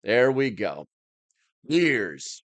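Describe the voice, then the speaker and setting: A man's voice: mid-range voice, big pitch swings, extremely slow, a bit bright, dry recording. A man hosting a live stream, chatting casually as he reads and answers comments in a rambling, plain-spoken, slightly grumbly way.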